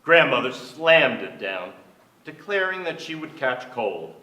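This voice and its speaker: An actor playing a storyteller of family memories, reading in a theatrical literary style. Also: male voice, medium pitch, sing-song, a little slow, pushed and bright. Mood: narrating, tense. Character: storyteller recalling tense family scenes. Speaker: stage actor in a staged reading. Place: theatre stage. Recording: echoey theatre, far from mic.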